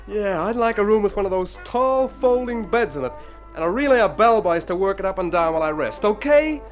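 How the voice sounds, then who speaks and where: A jittery, high-pitched, swooping nervous wreck. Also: male voice, lively intonation, fairly quick, lo-fi sound, soft background music. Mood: wry, weary. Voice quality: stammering. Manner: flustered, wry. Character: Tom Berryman, jittery wisecracking city man seeking a rest cure. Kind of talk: mid-century radio comedy acting.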